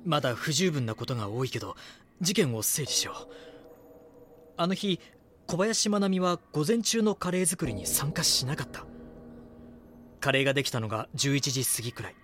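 Audio drama reporter: earnest, investigative, very animated range, faint noise behind. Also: male voice, on the high side, normal speed, pauses often. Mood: brooding, puzzled. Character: earnest male magazine reporter investigating a murder case. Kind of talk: Japanese audio drama acting, brooding monologue and dialogue.

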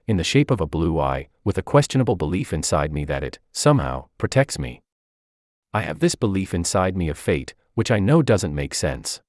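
A man reading a personal essay aloud in a measured, reflective way. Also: low voice, darkish tone, no echo, clean studio sound. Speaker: article reader.

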